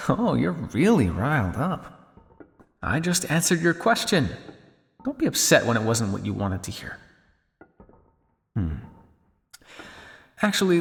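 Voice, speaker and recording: Suave male vampire scientist, played by a man, cynical and condescending, gravelly creak, long reverb, ASMR roleplay. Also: mid-range voice. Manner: teasing, condescending, drawling with theatrical pauses.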